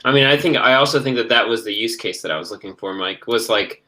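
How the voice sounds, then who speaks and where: A man's voice: medium pitch, fast talker, sharp tone. A man hosting a live panel over a video call, talking casually with many fillers and restarts. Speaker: host.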